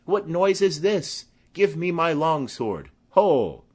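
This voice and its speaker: A man's voice: a little high, sing-song, slow pace, voice pushed up, exaggerated voice. A man playing a blustering, indignant old lord in a Shakespearean audiobook. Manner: loud, indignant. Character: blustering old lord calling for his sword.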